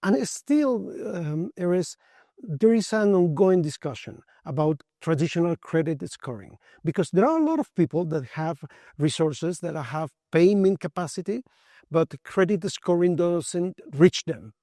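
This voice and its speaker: A man's voice: high voice, lively intonation, a little slow, warm tone, no echo, studio quality. A man conducting an interview at a conference.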